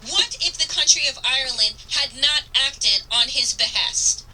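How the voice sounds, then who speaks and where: A woman's voice: high-pitched, animated, bright, forceful tone, distant mic, rough recording. A woman radio host giving impassioned political commentary, reading aloud and stressing key phrases with earnest, emphatic repetition. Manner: emphatic, earnest, deliberate delivery with dramatic repetition. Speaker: radio talk show host.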